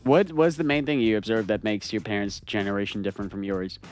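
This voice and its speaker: A man's voice: fairly low pitch, steady tone, quick pace, faint music behind. A man co-hosting a radio comedy show, asking questions and teasing his guest in casual banter. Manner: joking, teasing interviewer. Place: radio studio.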